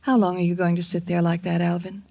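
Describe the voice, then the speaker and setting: A woman's voice: lowish voice, little pitch movement, fast, vocal fry, warm tone, no room sound, lo-fi sound. A woman acting an anxious, guilt-ridden middle-aged widowed mother in a radio drama, pleading hesitantly and emotionally. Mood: remorseful, defensive.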